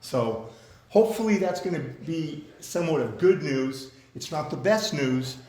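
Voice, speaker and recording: A man with a loud voice, plain-spoken, chairing a community club meeting. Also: a little high, lively intonation, measured pace, echoey room, low-quality recording.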